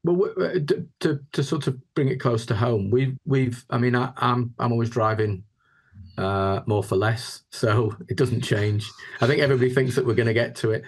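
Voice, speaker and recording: A man's voice: mid-range voice, normal speed, slightly rough. A man, giving reflective interview answers on a video call and often repeating words as he goes. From Yorkshire, England. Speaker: interview guest, housing chief executive.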